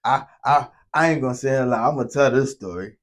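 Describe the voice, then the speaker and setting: A man's voice: medium pitch, quick pace. A man in his mid-thirties co-hosting a podcast, talking casually in slang and stammering as he starts his sentences. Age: 30s. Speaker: podcast co-host. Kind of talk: casual chat.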